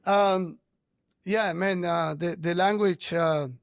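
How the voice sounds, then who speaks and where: A man hosting an interview, a native Spanish speaker who talks haltingly with many "right?" checks. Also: sits high, steady tone, clean tone, no echo, studio quality. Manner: halting, frequent "right?" and "you know". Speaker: podcast host. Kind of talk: interview conversation.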